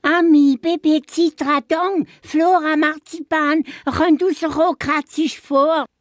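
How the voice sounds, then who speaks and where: An older woman with a Swiss German accent playing a bossy, excitable little magic mouse in a children's radio play, theatrically addressing listeners like a showman. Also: high-pitched, slow pace, no room sound, clean studio sound. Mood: bold, triumphant.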